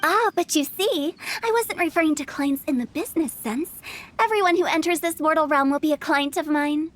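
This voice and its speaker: Young female undertaker for a game, woman actor: very high-pitched, breezy, mischievous, cheerfully morbid salesmanship. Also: a little fast, natural voice. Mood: playfully morbid. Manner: breezy, playful sales patter. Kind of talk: animated video game voice acting.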